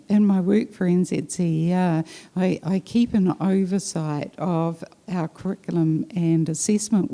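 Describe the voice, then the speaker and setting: Very dark-voiced woman researcher, measured. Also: fairly low pitch. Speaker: education researcher, former science teacher. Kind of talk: self-introduction on a panel. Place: conference hall, seated on a sofa.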